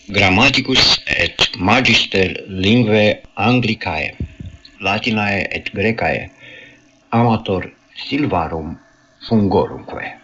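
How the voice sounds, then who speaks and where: A man presenting listener mail as a radio host in measured, deliberate phrases, heard through a shortwave radio capture. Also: lowish voice, sharp tone, dull audio, a little hiss or hum.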